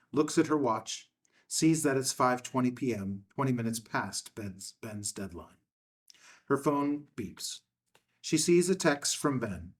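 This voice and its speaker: A man reading a film script's stage directions as narrator, in a matter-of-fact way. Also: mid-range voice.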